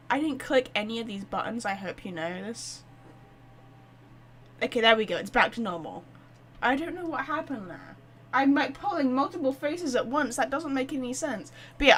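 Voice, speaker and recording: A woman chatting casually and excitably as she livestreams a game, rambling to her viewers. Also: fairly high pitch, breathy edge. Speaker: live streamer. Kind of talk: casual game stream chat.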